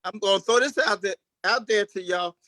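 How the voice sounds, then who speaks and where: A man chatting casually as an interview guest, halting and repeating himself as he builds up to his point. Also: high voice, sharp tone, dry recording. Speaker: stage actor, interview guest. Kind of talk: casual chat.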